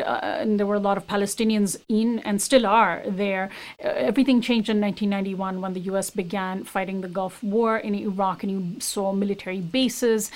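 Measured woman news host. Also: medium pitch. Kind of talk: news interview hosting.

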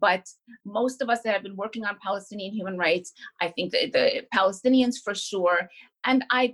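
A woman's voice: mid-range voice, fast talker, a bit bright. A woman being interviewed as an activist, answering in an impassioned way with fillers and restarts. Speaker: guest activist.

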